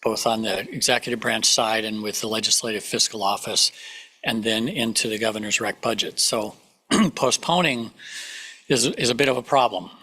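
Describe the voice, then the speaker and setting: A man official, hoarse from a cold, explaining budget timelines to a council in a measured way with frequent restarts. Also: lowish voice, pushed and bright, rough recording. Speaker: government official advising the council. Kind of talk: public meeting remarks. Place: meeting room.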